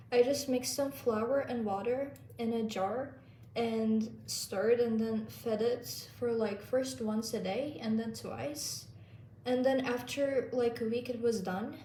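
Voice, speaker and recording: A woman's voice: a little high, darkish tone, echoey room, distant mic, slight background noise. A young woman, chatting casually in her home kitchen as she bakes, with many fillers. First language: Turkish. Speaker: vlogger. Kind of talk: casual baking vlog narration.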